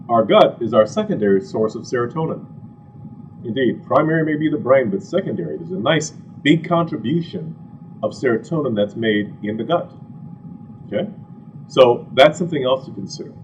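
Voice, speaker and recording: A man from Ghana giving an animated, conversational health lecture to a live audience, full of asides and rhetorical questions. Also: on the low side. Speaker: physician giving a health talk. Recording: distant, dull, slightly noisy room recording.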